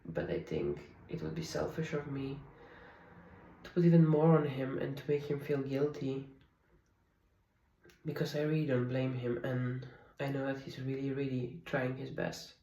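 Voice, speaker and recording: A woman in her thirties whose first language is Polish, speaking in a soft, candid, confiding way in a personal diary vlog at home. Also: a bass voice, moderate pace, reverberant, recorded from a distance, a little hiss or hum, low-quality recording. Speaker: vlogger. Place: home, at night.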